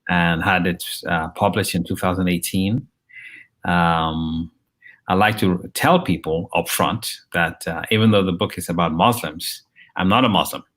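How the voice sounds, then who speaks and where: A man in his fifties from Nigeria, a journalist and professor giving an interview, talking in a measured way with frequent ums and restarts. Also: sits low, fairly even intonation, fairly bright tone. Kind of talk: conversational interview.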